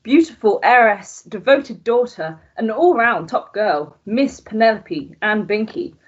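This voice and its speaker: A woman playing an arch, teasing narrator in a scripted radio melodrama, with a husky voice. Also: fairly high pitch, animated, a little slow. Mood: arch, ominous. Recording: dull lo-fi recording.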